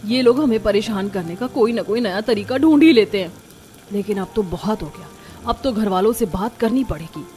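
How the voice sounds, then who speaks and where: A woman playing an overworked, aggrieved young daughter-in-law in a cartoon family drama, speaking in an expressive, complaining way. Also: medium pitch, moderate pace, soft background music, lightly acted.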